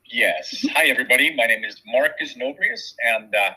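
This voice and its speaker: A man in his forties from Sweden with a Swedish accent, a shaman and Reiki practitioner talking reflectively as an interview guest, softly and with many pauses. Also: mid-range voice, lively intonation, slightly rough, rough recording. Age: 40s. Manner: soft-spoken, searching for words, many pauses.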